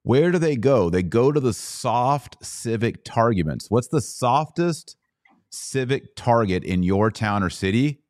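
A man's voice: mid-range voice, expressive, measured pace, darkish tone, no room sound, clean studio sound. A man hosting a podcast, giving conversational religious commentary in short, emphatic phrases. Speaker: podcast host.

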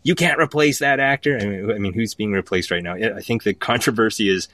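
A man's voice: lowish voice, brisk, a bit bright, no room sound. A man speaking as a playwright and guest in a casual panel discussion, emphatic and given to repeating phrases for effect.

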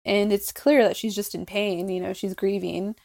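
A woman's voice: mid-range voice, steady tone, moderate pace, gravelly, a little mellow. A Mexican American woman co-hosting a casual podcast, chatting about a TV show with frequent restarts and light laughs. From United States. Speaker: podcast co-host. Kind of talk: casual chat discussing a TV show.

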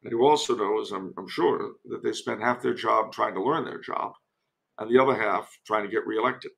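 Male commentator from the US, creaky voice, measured and wry, conversational podcast guest. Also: lowish voice, fairly bright tone, low-quality recording. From United States. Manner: wry, measured, deliberate phrasing.

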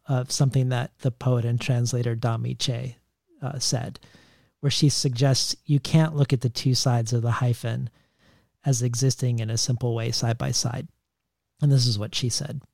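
A man who hosts a literary interview and speaks in a measured, thoughtful way, with some restarts. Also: mid-range voice, creaky, warm tone, no echo, studio quality. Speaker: interviewer, host.

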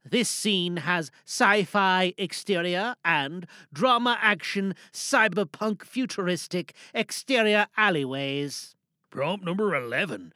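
Animated, bright-voiced podcast host. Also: medium pitch, gravelly, no echo. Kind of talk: reading out prompts and announcements, chatty podcast monologue.

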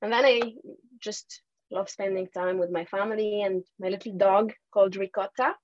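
A woman's voice: mid-range voice, steady tone, normal speed, highs cut off, slight background noise. A young woman from Tunisia, a lawyer guest, chatting casually in an interview and laughing often.

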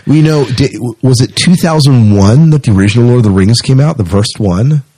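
A man's voice: medium pitch, fairly quick, mellow. A man co-hosting a podcast, chatting casually through entertainment news. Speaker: podcast co-host. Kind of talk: casual chat about sci-fi movie news.

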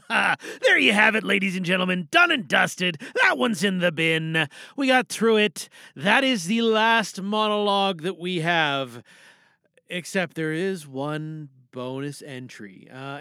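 A man's voice: high voice, vocal fry, pushed and bright, dry recording. A man hosting a podcast in a casual, playful, rambling way, close on the mic in a booth. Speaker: podcast host and monologue performer. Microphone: close mic in a recording booth.

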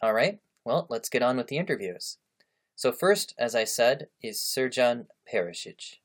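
A man with a mid-pitched voice hosts a podcast, setting up the episode in a steady, clear way. He then turns to questioning his guest. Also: unhurried. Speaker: podcast host and interviewer. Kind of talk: podcast intro and interview.

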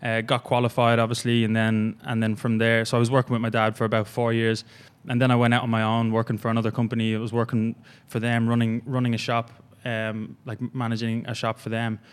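Halting Irish gym coach, smooth voice. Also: male voice, mid-range voice, completely flat delivery. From Ireland. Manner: halting, rambling with many fillers. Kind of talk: casual podcast interview.

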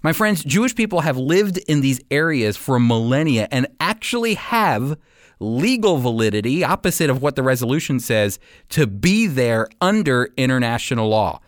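Emphatic male commentator with lively, swooping intonation. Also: medium pitch, moderate pace, no room sound, studio quality. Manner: emphatic, persuasive, direct address to listeners. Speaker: host and Bible teacher giving commentary. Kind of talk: scripted radio commentary.

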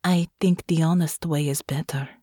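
Audiobook role: gentle young farm woman, voiced by a female narrator, earnest, careful with each word, close dry sound. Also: medium pitch, big pitch swings, husky, no room sound, heavily acted. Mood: gentle, intimate. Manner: soft, careful, deliberate, formal phrasing. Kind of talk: audiobook character dialogue.

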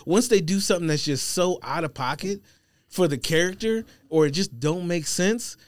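An American man chats casually as a podcast panelist. His voice sits a little high and comes through dry and close. He is easygoing and conciliatory in conversation. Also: normal speed, no echo. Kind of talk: casual chat.

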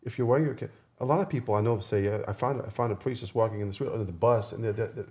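A man's voice: medium pitch, very fast, a little mellow. A man talking earnestly in a casual podcast discussion, often repeating phrases for emphasis. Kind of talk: casual conversation.

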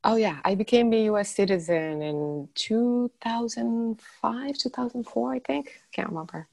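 A woman in her forties from Morocco, a guest life coach talking in a relaxed, thoughtful way with light laughs. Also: a little high, normal speed, vocal fry, dry recording. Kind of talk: casual interview chat.

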